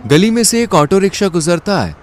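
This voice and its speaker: Expressive male story narrator. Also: high-pitched, normal speed, soft background music.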